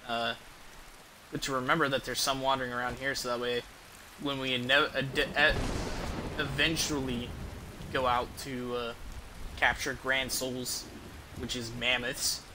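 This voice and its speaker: A man's voice: mid-range voice, little pitch movement, unhurried, long pauses, noisy background. A man giving casual, rambling gameplay commentary in a hesitant, profanity-prone way. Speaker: game streamer.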